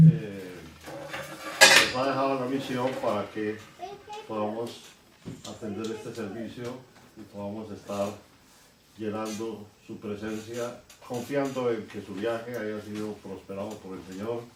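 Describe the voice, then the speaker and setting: Low-voiced man preaching in echoey church. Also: dark tone. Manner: slow, earnest, with pauses and fillers. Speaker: lay preacher leading the service. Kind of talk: church welcome and sermon. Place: church sanctuary. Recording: echoey church, distant mic, noisy.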